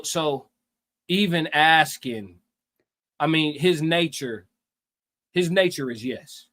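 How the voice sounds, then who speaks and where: A man co-hosting a casual live stream, talking in animated slang and repeating phrases for emphasis. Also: medium pitch. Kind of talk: casual opinionated chat.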